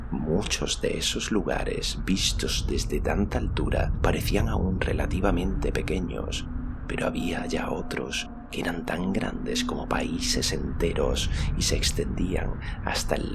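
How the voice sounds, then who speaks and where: Narrador de voz gravísima y rasgada. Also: male voice, extremely low pitch. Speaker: audiobook narrator. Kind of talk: dramatic scripted narration of a fantasy story. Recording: clear ambient noise behind.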